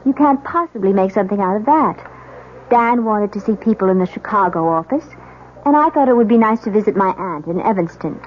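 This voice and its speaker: An actress playing an anxious, disbelieving newlywed wife in a radio drama, answering in an indignant, challenging manner. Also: female voice, medium pitch, lively intonation, brisk, close to an everyday voice. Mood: defensive. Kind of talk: 1970s radio drama acting. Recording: tinny, noisy old radio drama recording.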